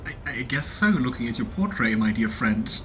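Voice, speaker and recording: A man's voice: mid-range voice, very animated, normal speed, a bit bright, slight background noise. A man in his thirties doing casual game commentary and reading narration aloud as he streams. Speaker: let's play streamer voicing a game. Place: small room indoors at home. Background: game music.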